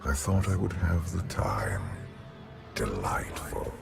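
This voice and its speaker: A man playing a calm, regal, sinister immortal emperor's spirit in a game cutscene, smug and aloof. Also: deep voice, slow, gravelly, in a whisper, exaggerated voice. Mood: aloof amusement. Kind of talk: dramatic game cutscene acting. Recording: music behind the voice.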